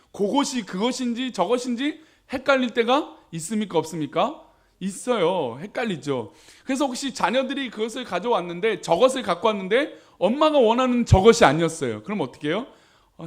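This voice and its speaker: A male pastor delivers a sermon in an easy, conversational tone, often turning to the congregation with questions. His voice sits high for a man. His pitch swings widely as he talks.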